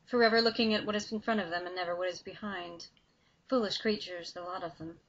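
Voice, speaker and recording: A woman voicing a cold, contemptuous male demon who speaks low, quiet and formally in a dull lo-fi recording. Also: fairly even intonation, brisk.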